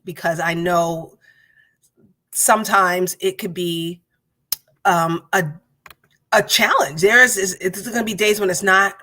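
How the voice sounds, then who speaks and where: A woman's voice: on the low side, sharp tone. A woman hosting a casual podcast chat, speaking in an encouraging, rambling way with many restarts. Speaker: podcast host.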